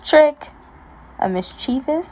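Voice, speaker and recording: A woman reading a children's picture book aloud, deliberately and with expressive emphasis. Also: high-pitched, dull audio. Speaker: storybook reader. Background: music.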